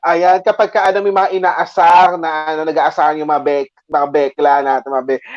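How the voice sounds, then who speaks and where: A man's voice: on the high side, level tone, fairly quick, dry recording, dull audio. A man hosting a casual live chat game, teasing his guest and talking with many fillers. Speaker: interviewer host.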